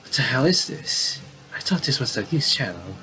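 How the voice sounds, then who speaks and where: A man's voice: mid-range voice, sing-song, normal speed, pushed and bright, slight background noise, poor audio. A man doing casual game commentary, reading visual novel dialogue aloud and often stumbling and repeating phrases.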